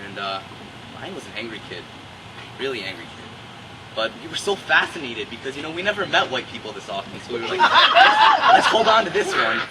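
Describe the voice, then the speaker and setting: A man from North America telling stand-up comedy stories in an animated way, acting out dialogue as he goes. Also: mid-range voice, quick pace. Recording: very noisy live recording from far off.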